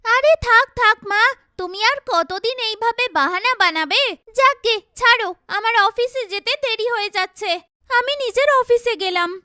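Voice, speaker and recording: A woman voicing a vain, sharp-tongued young woman in a cartoon, with a blunt, complaining, mocking manner. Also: very high-pitched, moderate pace, no echo, studio quality. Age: young adult. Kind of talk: cartoon dialogue.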